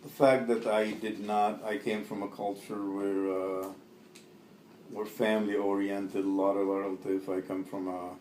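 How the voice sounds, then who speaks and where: A Lebanese-born man in his fifties, Arabic his first language, answering an oral history interview in a halting way with many fillers and restarts. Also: lowish voice, little pitch movement, a little mellow, echoey room, a little hiss or hum.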